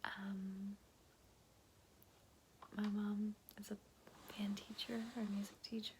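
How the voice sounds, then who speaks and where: A woman whispers softly in a slow, mellow ASMR style, as if for bedtime. Her voice is breathy and hushed, with a musing way of talking. There is faint noise behind her. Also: mid-range voice, little pitch movement, rough recording. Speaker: ASMR host. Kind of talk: whispered, soft-spoken ASMR bedtime chat and storytelling.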